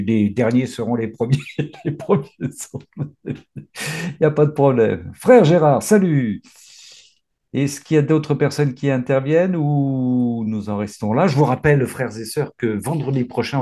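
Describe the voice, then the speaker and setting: A man's voice: medium pitch, breathy edge. A man leading a prayer reading group, reciting psalms fervently and encouraging the others as he goes. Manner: fervent, warm encouraging responses.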